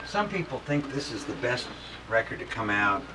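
A man's voice: mid-range voice, sing-song, moderate pace, distant mic. Man chatting off-mic in a noisy room. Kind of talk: casual chat about old records and radios. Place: indoors in a house.

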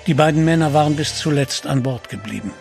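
A man narrating a scripted adventure drama as a solemn, measured storyteller. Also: a little high, vocal fry, darkish tone, faint music behind.

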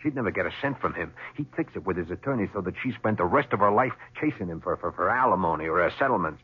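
A desperate, suspicious accused man in a 1970s radio drama. He argues insistently, his pitch jumping around, with some rasp. The sound is a muffled old broadcast. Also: animated, fast, full character voice. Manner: insistent, arguing.

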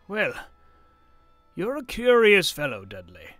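A man's voice: high voice, huge pitch swings, slow pace, pushed and bright, dry recording, soft background music, exaggerated voice. A man playing an elderly history professor in an audio drama, wistful, wondering and hesitant. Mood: curious, puzzled. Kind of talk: film-adaptation audio drama acting.

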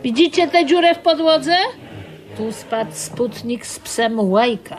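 A cynical saleswoman in a radio play, announcing her wares with sardonic showiness. The voice is fairly high and slow, with clear music behind it. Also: lively intonation. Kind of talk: radio drama acting.

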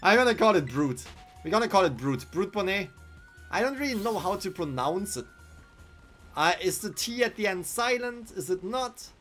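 A man's voice: sits high, very animated, normal speed, fairly bright tone, faint music behind. Young German-accented man streaming. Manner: chatty, thinking aloud, answers chat. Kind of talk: casual live-stream commentary. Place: small room indoors. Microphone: headset.

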